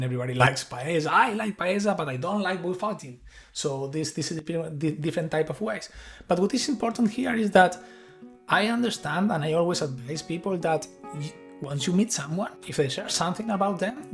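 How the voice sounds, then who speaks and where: Middle-aged Argentine man, Spanish-accented English, earnest and halting conference speaker, slightly high voice, faint music underneath. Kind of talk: presentation talk.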